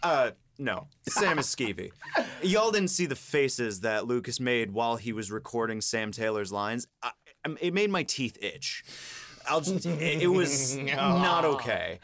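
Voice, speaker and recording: A man's voice: medium pitch, big pitch swings, unhurried, a crowd in the background. A man co-hosting a podcast, asking questions in a casual, chatty interview style.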